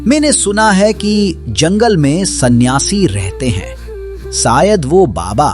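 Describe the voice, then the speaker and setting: A man's voice: on the high side, big pitch swings, slow, music in the background. A man playing a humble, pious, poor villager, earnest and reverent, in a children's cartoon. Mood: wistful. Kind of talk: Hindi cartoon moral-tale voice acting.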